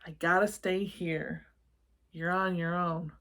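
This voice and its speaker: A woman voicing a gruff, stubborn male monster who grumbles and growls in a storybook read-aloud. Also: fairly low pitch, steady tone, takes it slowly, a bit bright. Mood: grumbling, stubborn. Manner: growling, grunting, thundering.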